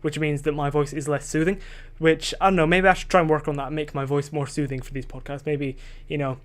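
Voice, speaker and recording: A man's voice: fairly high pitch, fairly even intonation, fast talker, clean tone. A young man recording a solo podcast into a desk microphone, rambling and questioning himself, losing his train of thought and swearing casually.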